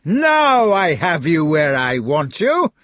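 A man voicing a fat, blustering male knight who shouts slowly and heavily in furious accusation. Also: on the high side, huge pitch swings, no room sound, clean studio sound, pitched above the performer's own voice, exaggerated voice. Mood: furious shouting. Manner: shouting, slow, heavy.

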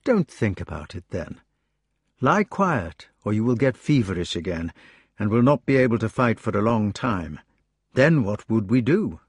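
A man voicing a kindly old king who speaks softly and soothingly. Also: lowish voice, very animated, normal speed, breathy edge, no echo. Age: older. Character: old, gentle, fatherly male king.

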